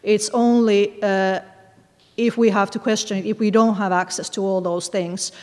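A woman's voice: mid-range voice, fairly even intonation, a little mellow. Thoughtful woman author in a reverberant hall. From Finland. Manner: thoughtful, hesitant, many pauses and fillers. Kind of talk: discussing her book with an audience.

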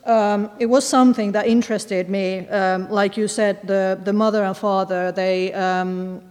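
A woman author from Finland answering audience questions, speaking thoughtfully with frequent pauses and fillers. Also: mid-range voice, steady tone, darkish tone, clear, resonant tone, in a large hall. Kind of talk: discussing her book with an audience.

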